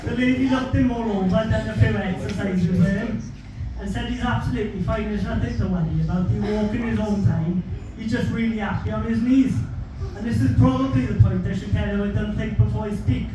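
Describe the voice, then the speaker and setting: Sweary Welsh comic in an echoey hall. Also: male voice, sits high, a little fast. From Wales. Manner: sweary, deadpan storytelling. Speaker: stand-up comedian. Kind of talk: stand-up comedy set. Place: theatre stage with audience. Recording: echoey hall from far off, audience noise.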